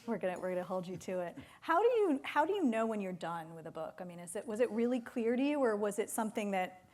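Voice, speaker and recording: A woman's voice: medium pitch, big pitch swings, fast, a little mellow. A woman hosting a casual on-stage interview in an informal, teasing way. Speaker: interviewer moderating an author event. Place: on stage at a theater event.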